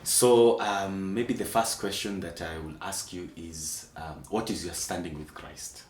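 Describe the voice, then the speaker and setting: Low-voiced host in an echoey room. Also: male voice, fairly even intonation, measured pace. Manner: soft-spoken, frequent hums and "mm-hmm" backchannels. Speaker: talk show host. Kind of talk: casual interview. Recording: echoey room with faint background noise.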